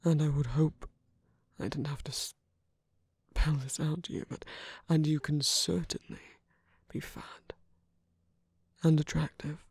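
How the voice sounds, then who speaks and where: An actor voices a villain gone tender, soothing a lover in intimate roleplay. The voice is heavily breathy and husky with a gravelly fry, often dropping to a whisper. It sits very close and dry on the microphone, gentle and coaxing. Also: medium pitch, steady tone, slow pace, pauses often, dry recording, heavily acted. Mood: tender, reassuring. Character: tender villain comforting a former hero lover. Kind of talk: intimate ASMR romantic roleplay.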